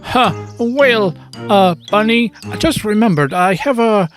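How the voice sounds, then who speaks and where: A woman playing a big, helpful storybook rhino who acts bold but is a coward, with deliberate delivery. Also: medium pitch, expressive, faint music behind, natural voice. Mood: sheepish.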